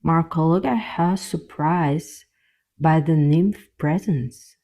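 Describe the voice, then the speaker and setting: A woman narrating a scripted children's fairy tale as the storyteller, in a gentle, measured way. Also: deep voice.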